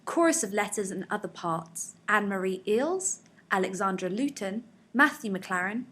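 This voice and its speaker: A woman's voice: mid-range voice, lively intonation, moderate pace, fairly bright tone. A woman announcer reading out scripted cast credits for a radio play.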